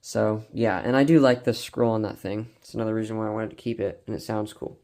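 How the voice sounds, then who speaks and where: A man's voice: lowish voice, steady tone, quick pace, creaky, a little mellow. A man giving a casual, rambling vlog-style showcase as an enthusiastic collector, often stumbling over his words. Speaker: collector showing weather radios and scanners.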